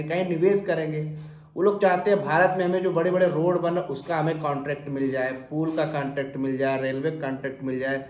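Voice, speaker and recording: A man with a sore throat explaining investing to viewers, often clearing his throat and asking questions he then answers himself. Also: on the high side, reverberant. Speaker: finance explainer channel host. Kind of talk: informal explainer talk to camera.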